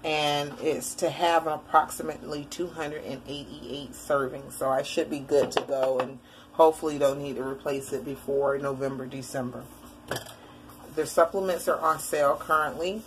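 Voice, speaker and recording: Low-voiced chatty woman showing groceries. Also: slight background noise, poor audio. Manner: chatty, rambling, lots of fillers. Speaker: vlogger. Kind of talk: casual grocery haul chat. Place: indoor room at home, shelves behind.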